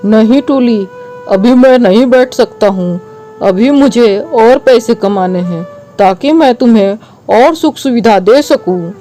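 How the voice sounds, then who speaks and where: A woman's voice: on the high side, a little slow, warm tone, soft background music, natural voice. A woman voicing a hardworking, stubborn father bird in a cartoon moral story, firm and explaining.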